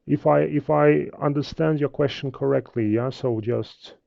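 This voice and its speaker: A man with a Russian accent, a native Russian speaker, teaching trading in a live webinar, halting, with many fillers and restarts. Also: on the high side, vocal fry, no room sound, highs cut off. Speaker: trading instructor. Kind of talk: live webinar talk with viewer questions.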